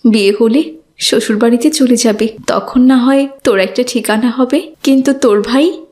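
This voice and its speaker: Woman playing a careworn mother, highish voice. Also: fairly even intonation, moderate pace, a little mellow, close to an everyday voice. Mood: resigned. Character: poor, careworn widowed mother, firm but loving. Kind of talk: cartoon voice acting.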